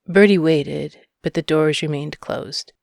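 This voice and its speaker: A woman's voice: low voice, measured pace, vocal fry, darkish tone, dry recording, clean studio sound. A woman narrating a short story from a script. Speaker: audiobook narrator.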